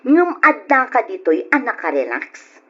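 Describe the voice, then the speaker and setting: A woman's voice: fairly high pitch, sing-song, unhurried, sharp tone, breathy edge, highs cut off, exaggerated voice. A woman with an Ilocano accent playing a poor, worn-down, pleading mother in an old radio drama. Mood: exasperated.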